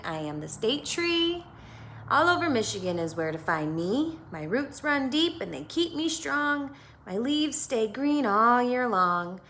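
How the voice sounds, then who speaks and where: An American woman teacher reading a picture book aloud to children, expressive and instructive, pausing to put questions to her young listeners. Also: a little high.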